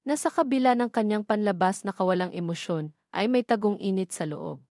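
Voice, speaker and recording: A woman's voice: medium pitch, darkish tone, dry recording, clean studio sound. A woman delivering scripted narration for an audio drama story.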